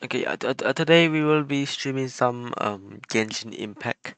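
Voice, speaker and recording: A man live-streaming a video game, chatting casually and thinking aloud in a halting way. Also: mid-range voice, fairly bright tone, clear, resonant tone, dry recording. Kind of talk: casual live-stream chat while gaming. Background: faint game music.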